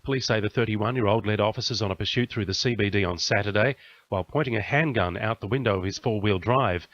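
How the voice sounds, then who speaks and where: A man's voice: fairly low pitch, quick pace, a bit bright, lo-fi sound. An Australian man reading a scripted radio news bulletin as the station's news reader.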